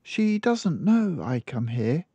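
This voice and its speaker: A man's voice: on the high side, big pitch swings, slow, mellow, husky, no room sound, muffled recording, pitched above the performer's own voice, heavily acted. A man voicing an earnest, polite, bookish little girl from a storybook in a child-like manner. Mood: plain, resigned. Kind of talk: storybook character voice.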